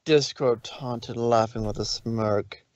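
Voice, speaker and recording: A man narrating a fan fiction story aloud in a relaxed way, stumbling now and then. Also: mid-range voice, slow, dry recording. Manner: relaxed reading with slips and restarts. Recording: dull dry lo-fi stream audio.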